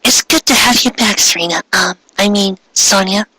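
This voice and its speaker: A man's voice: high voice, normal speed, sharp tone, breathy edge, no echo, pitched above the performer's own voice, exaggerated voice. A man voicing an anxious, hesitant teenage girl heroine in an anime parody, with a plaintive manner.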